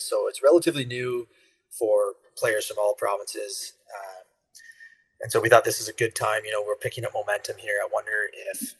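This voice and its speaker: Husky-voiced man explaining his rugby club. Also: on the low side, a bit bright, poor audio. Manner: earnest, explains step by step with fillers. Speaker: rugby federation leader, guest. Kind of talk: casual interview.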